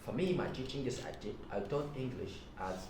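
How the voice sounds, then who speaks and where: A man's voice: mid-range voice, lively intonation, brisk. A man in his thirties from Sudan with a Sudanese Arabic accent, a native Arabic speaker, hosting an informal podcast interview. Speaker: podcast host. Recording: echoey room, distant mic, background noise.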